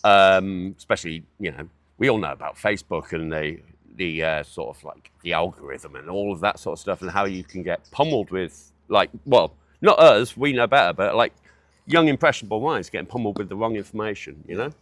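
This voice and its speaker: A man's voice: low voice, lively intonation, a little fast, no room sound. Middle-aged male interviewer, dry close sound. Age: 40s. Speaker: interviewer, magazine series host. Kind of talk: casual on-location interview chat. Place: outdoors in a public park.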